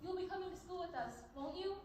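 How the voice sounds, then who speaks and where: A gentle schoolteacher acted by a woman with a high voice, patient and coaxing. She is heard at a distance on a very echoey stage. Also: lively intonation, fast. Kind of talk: stage play acting. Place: stage in an echoing hall, live performance. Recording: distant mic in echoing hall, faint noise.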